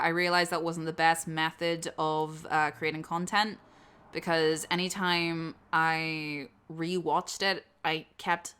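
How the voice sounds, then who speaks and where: A young woman from Northern Ireland with a Northern Irish accent, speaking in a smooth, clear and fairly low voice. She chats off the cuff in a frank, informal way, like a creator answering her audience's questions. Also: a bit bright. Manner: candid, blunt, informal with swearing. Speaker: audio roleplay creator answering subscriber questions. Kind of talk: casual unscripted Q&A chat.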